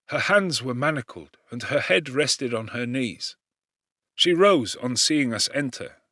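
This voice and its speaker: A man reading a classic novel as an audiobook narrator, in a solemn, expressive and dramatic literary style. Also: mid-range voice, no echo, studio quality.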